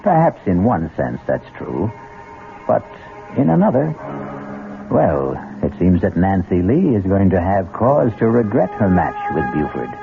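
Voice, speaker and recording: Deep, gravelly male mystery host. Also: sing-song. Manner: wry, theatrical, deliberate phrasing. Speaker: host narrator. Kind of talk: scripted mystery radio narration. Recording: muffled, narrow-band old radio recording. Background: music.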